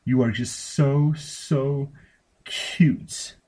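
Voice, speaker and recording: A man voicing an adoring, effusive old lady with drawn-out words in a story reading. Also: mid-range voice, steady tone, darkish tone, pitched above the performer's own voice.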